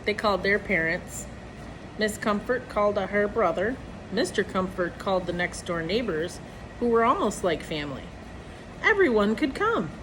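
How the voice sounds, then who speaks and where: A woman reads a children's story aloud at a medium pitch. Her delivery is expressive, as if for young listeners. Clear background noise runs behind her voice. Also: normal speed. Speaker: storybook reader. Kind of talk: reading a children's picture book aloud.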